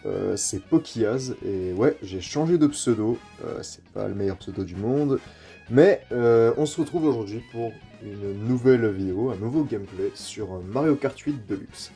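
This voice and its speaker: Rambling man with a cold, mellow tone. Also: on the low side, expressive, measured pace, faint music behind. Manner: rambling and filler-heavy, mild swearing. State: stuffy-nosed with a cold. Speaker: gaming youtuber. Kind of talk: casual gameplay commentary.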